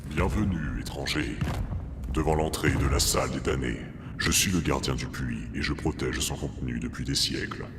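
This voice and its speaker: A man playing a huge, centuries-old monster guardian in an audio drama, speaking in a formal, measured, solemn way. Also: rumbling low, noisy background. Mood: solemn, warning.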